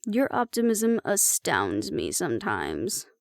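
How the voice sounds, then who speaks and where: A woman's voice: on the low side, huge pitch swings, slow pace, gravelly, no room sound, heavily acted. A woman acting in an audio roleplay as a sardonic, nervous young woman who mutters dry asides to her mate. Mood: nervous, wry.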